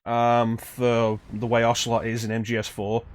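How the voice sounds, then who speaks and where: A man's voice: medium pitch, monotone, moderate pace. A man giving casual, conversational commentary as a game streamer. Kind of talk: casual commentary over gameplay. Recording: faint background noise from game stream.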